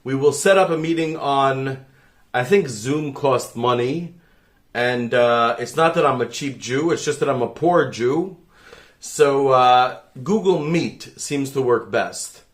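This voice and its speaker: A man in a middle pitch talks with sudden swells in loudness as he pleads earnestly to the camera. He keeps breaking off into side remarks, then pulls himself back with a fervent, preacher-like push. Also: slow pace. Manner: rambling, self-interrupting, fervent. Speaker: rabbi. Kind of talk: earnest direct-to-camera appeal.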